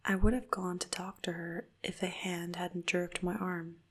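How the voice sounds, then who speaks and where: A woman narrating a dark first-person story in a soft, hushed whisper throughout. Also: on the low side, little pitch movement, measured pace, breathy edge.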